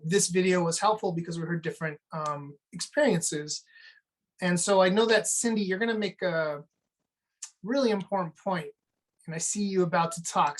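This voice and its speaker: Woman hosting a video call chat, kinda low voice, easygoing. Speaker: webinar moderator. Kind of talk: live online discussion.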